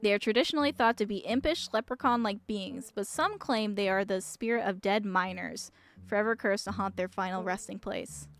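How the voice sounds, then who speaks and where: A woman's voice: medium pitch, normal speed, creaky. A woman streamer reading visual novel text aloud. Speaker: game streamer. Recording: music behind the voice. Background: music.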